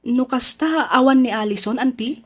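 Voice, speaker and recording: A woman's voice: on the high side, moderate pace, lightly acted. A woman playing a polite, tentative young visitor in a Filipino radio drama. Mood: polite, apologetic. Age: young adult.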